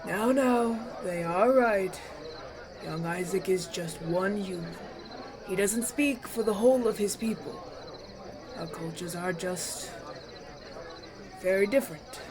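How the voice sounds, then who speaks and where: Mann spielt gütigen Elfenältesten mit hoher Stimme. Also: male voice, high voice, crowd noise. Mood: conciliatory. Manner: ceremonial, measured, kindly. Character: gracious, benevolent elven elder presiding over a feast. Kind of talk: fantasy audio roleplay acting.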